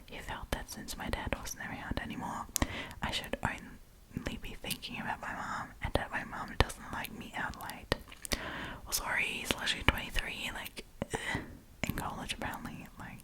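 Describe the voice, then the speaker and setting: A woman telling stories in a breathy ASMR whisper, with chatty, playful, slangy commentary. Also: rough recording. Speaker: ASMR storytime host.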